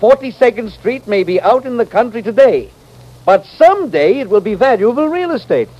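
An actor playing a pompous little financier with florid, oratorical speech, in an old-time radio western. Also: male voice, very high voice, very animated, moderate pace, a little mellow, dull audio, exaggerated voice. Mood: boastful, theatrical. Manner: florid, oratorical, name-dropping. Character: pompous, grandiose little financier and smooth-talking swindler. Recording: noisy vintage radio recording.

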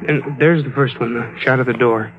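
A man's voice: medium pitch, fairly even intonation, normal speed, natural voice. An actor playing a bereaved, desperate man, earnest and insistent, in mid-century radio drama style. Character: bereaved, desperate man insisting his love still lives. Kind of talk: 1940s-50s radio drama acting. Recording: dull old radio recording.

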